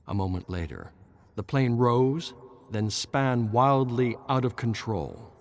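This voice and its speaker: A man's voice: mid-range voice, big pitch swings, slow pace. A man reads a scripted horror story in a theatrical, suspenseful manner, drawing out words for emphasis. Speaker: narrator reading game lore stories. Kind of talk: dramatic scripted horror narration.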